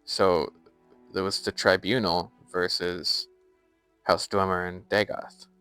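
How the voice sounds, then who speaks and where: A man's voice: low voice, level tone, takes it slowly, pushed and bright, clear, resonant tone, dry recording, faint music behind. A young American man chatting casually on a live stream while playing a game and reading its lore aloud. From United States.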